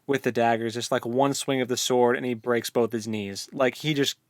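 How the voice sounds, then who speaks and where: A man co-hosting a casual book discussion, retelling things in an animated way peppered with "like". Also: medium pitch, moderate pace, no room sound, clean studio sound. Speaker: book podcast co-host.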